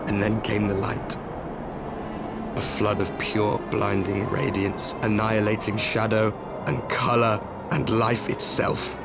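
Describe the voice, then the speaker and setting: An actor playing a grim, weary warrior from a doomed world in a game cutscene, speaking in a solemn, measured and deliberate manner. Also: male voice, on the low side, flat delivery. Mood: solemn, grave. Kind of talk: dramatic video game cutscene acting. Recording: loud music under the voice.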